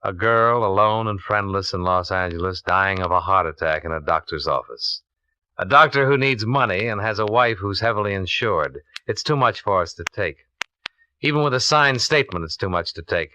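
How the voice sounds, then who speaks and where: An actor playing a cool, relentless American insurance investigator, blunt and probing, in a 1950s radio drama. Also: male voice, sits low, normal speed, pushed and bright, no echo. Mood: stern, accusing. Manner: clipped, probing, blunt interrogation. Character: hard-boiled, methodical male insurance investigator, relentless and cool. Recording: dull vintage radio recording.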